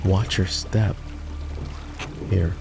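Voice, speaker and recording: A male voice actor playing a gruff, sardonic fantasy knight in a roleplay ASMR, with a teasing, commanding manner. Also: deep voice, steady tone, extremely slow, over music, full character voice. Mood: wary, instructing.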